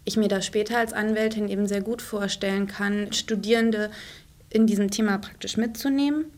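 An earnest woman in her twenties speaks at a middle pitch, linking her thoughts into long, flowing sentences. She sounds committed and unhurried as she lays out her plans. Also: fairly even intonation, darkish tone. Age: 20s. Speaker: law student volunteer. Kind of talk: interview statement.

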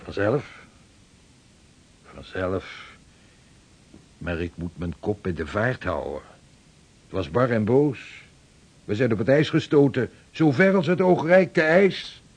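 A man's voice: mid-range voice, lively intonation, slow, long pauses, breathy edge, exaggerated voice. A man playing a hearty returning seaman. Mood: earnest. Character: seafaring man back from Arctic voyage, adult. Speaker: actor in radio play. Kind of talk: radio drama acting.

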